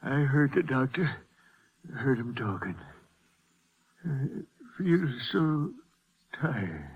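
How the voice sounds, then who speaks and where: An actor playing a weary but stubborn old shopkeeper, gravely weak, speaking haltingly in a 1950s western radio drama. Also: male voice, medium pitch, sing-song, heavily acted. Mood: exhausted, frustrated. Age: older. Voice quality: husky, faint. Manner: halting, labored speech with long pauses. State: weak, exhausted. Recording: muffled, phone-like old radio recording.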